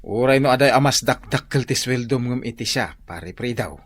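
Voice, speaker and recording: An actor playing an earnest, proud reformed drinking buddy with an Ilocano Filipino accent in a comedy radio drama. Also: male voice, mid-range voice, expressive, a little fast, no echo, lightly acted. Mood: proud, teasing.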